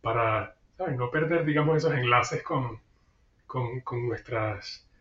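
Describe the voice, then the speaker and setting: A man's voice: mid-range voice, takes it slowly, a bit bright, echoey room, distant mic, dull audio, slight background noise. A man being interviewed over a video call, answering thoughtfully with many fillers and restarts. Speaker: guest. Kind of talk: casual interview.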